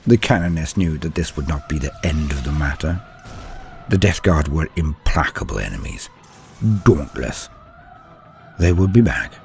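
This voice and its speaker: A man delivering dramatic scripted narration as a host, solemn, theatrical and grandiose, with a husky voice. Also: very deep voice, expressive, moderate pace, faint music behind. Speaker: host and narrator of a lore show.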